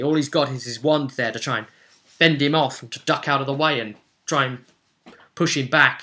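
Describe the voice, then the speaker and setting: A man's voice: medium pitch, bright, forceful tone. A man narrating a tabletop role-play as game master, theatrical and dramatic, in short punchy phrases.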